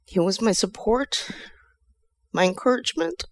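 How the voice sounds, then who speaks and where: A middle-aged woman from Chicago with an American accent, giving a halting, emotional spoken tribute to a friend. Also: mid-range voice, expressive, gravelly. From Chicago, USA. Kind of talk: heartfelt spoken tribute, personal reminiscence.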